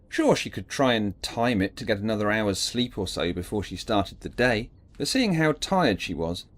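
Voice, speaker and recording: A man narrating a scripted audiobook drama in a theatrical, emphatic storytelling manner. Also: mid-range voice.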